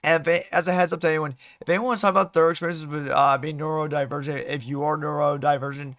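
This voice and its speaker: A male game streamer talks casually to his chat in a somewhat high, slightly hoarse voice. He speaks haltingly, often doubling back to restart a phrase. The sound is dry, with very little room in it. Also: steady tone, a bit bright, no echo. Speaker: livestream host, gamer.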